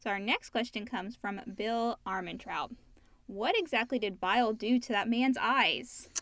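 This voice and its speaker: Female podcast co-host, fairly high voice, dry close recording, reads questions out conversationally. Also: a little slow, fairly bright tone, no echo. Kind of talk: reading listener questions, brief agreeing replies.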